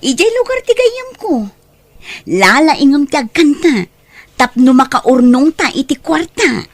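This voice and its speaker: A woman with a Filipino accent playing a greedy, scheming aunt in an audio drama, expressive and falsely soothing. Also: sits high, unhurried, no echo, heavily acted. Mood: coaxing. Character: scheming greedy aunt exploiting her young singing nephew. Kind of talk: Ilocano audio drama acting.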